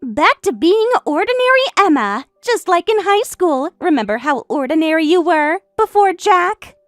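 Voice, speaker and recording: A catty young home-wrecker with a very high, bouncy voice that swoops up and down as she taunts and brags, played by a woman. The sound is dry and close, and faint music plays under it. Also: big pitch swings, moderate pace, no echo. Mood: boastful. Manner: taunting, boastful, indignant. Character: smug, catty young woman who stole her best friend's husband. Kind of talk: voiced story drama.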